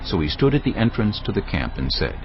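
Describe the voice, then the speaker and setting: A man with a low voice and a lot of expression narrates scripture in a steady, formal way. The audio is dull and lo-fi, with a prominent music score underneath. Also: fairly quick.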